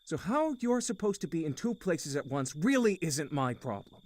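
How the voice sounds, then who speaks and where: A man's voice: on the high side, big pitch swings, normal speed, darkish tone. A man playing a stressed, middle-aged uncle and businessman in a visual novel. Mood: irritated, firm. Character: stressed married uncle, businessman, stern then weary.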